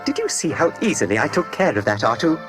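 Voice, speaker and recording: A man's voice: a little high, huge pitch swings, fast talker, over music. A man voicing a fussy, anxious protocol droid with a prim, formal, fretful manner in a children's read-along story. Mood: eager, pleased. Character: fussy, anxious golden male protocol droid, eager to help.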